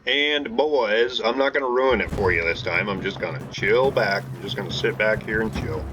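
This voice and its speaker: Low-voiced laid-back gaming commentator. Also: male voice, moderate pace, noisy background. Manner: laid-back, thinking aloud, frequent restarts and fillers. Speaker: gaming channel host. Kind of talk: casual gameplay commentary. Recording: game music playing under the voice. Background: game music.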